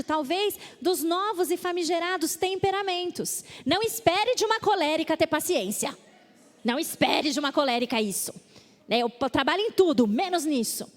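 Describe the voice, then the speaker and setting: Brazilian woman, conference speaker; high voice, animated delivery, church-hall reverb. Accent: Brazilian Portuguese. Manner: lively, reads verses with emphasis, mimics excuses playfully. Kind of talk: women's conference talk on scripture. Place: church auditorium.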